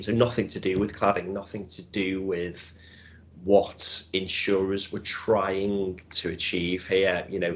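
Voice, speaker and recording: A man's voice: low voice, vocal fry. A man with a Scouse accent giving a webinar presentation as an insurance broker, explaining in a conversational way with frequent hedges and "right?" checks.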